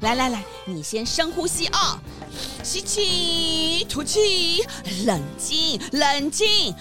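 A woman voicing a polite, patient woodpecker secretary in a children's detective audio drama, soothing and expressive. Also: very high-pitched, slow, music in the background, full character voice.

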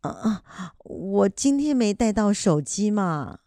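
A woman acting an earnest, studious elderly grandmother in a radio drama, with a Taiwanese accent and a gentle, hesitant manner. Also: medium pitch, lively intonation, slow, no room sound, clean studio sound. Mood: apologetic.

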